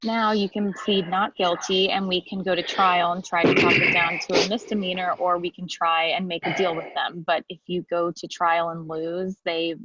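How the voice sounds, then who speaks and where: A woman reading a composed young female defense lawyer in a table read, calm and explaining. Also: lowish voice, dull audio. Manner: measured, explaining calmly.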